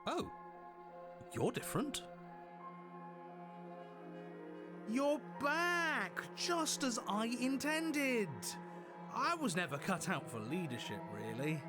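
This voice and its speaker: Horror visual novel role: an exasperated, theatrical voice in the head, very animated intonation, over music. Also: male voice, very high-pitched, takes it slowly, heavily acted. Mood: knowing. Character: male narrator and squabbling voices in the hero's head, theatrical, exasperated. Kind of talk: dramatic visual novel voice acting.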